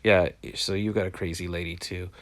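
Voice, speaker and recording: A man's voice: sits low, fairly even intonation, fairly quick. A congested man chatting casually to viewers on a phone live stream, frustrated and rambling. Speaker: vlogger hosting a live stream. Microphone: phone, live stream from home.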